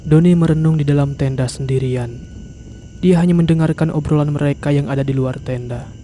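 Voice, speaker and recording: A man's voice: a little high, a little fast, warm tone, slight background noise. A man narrating a horror story in a scripted storytelling style.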